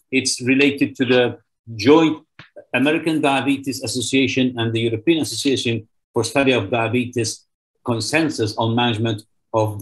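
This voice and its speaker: A man's voice: mid-range voice, rough recording. An older man with an Egyptian accent, giving a formal medical lecture as a professor and stressing his key words. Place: indoors, remote video presentation. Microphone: video call.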